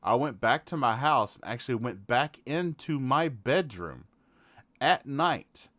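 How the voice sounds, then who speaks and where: Man, middle pitch, measured, explanatory, podcast host, dry close sound. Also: lively intonation, fairly bright tone, no room sound. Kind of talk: casual gaming podcast chat.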